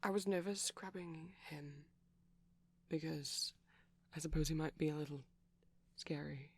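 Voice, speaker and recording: A man doing a soft-spoken ASMR boyfriend roleplay as a shy, gentle vampire, rambling haltingly in a breathy voice that often drops to a whisper. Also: fairly high pitch, steady tone, no room sound.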